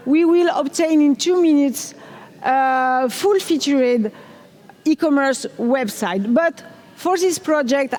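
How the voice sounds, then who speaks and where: A woman's voice: sits high, a little mellow. A middle-aged French woman with a French accent, giving an enthusiastic technical conference talk on stage and asking the audience questions. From France. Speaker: conference speaker. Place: large conference hall on stage. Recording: hall reverb from a big room.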